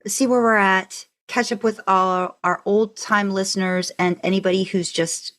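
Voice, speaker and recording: A woman co-hosting a podcast in a chatty, informal, animated way. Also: mid-range voice, normal speed. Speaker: podcast co-host. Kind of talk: casual podcast chat.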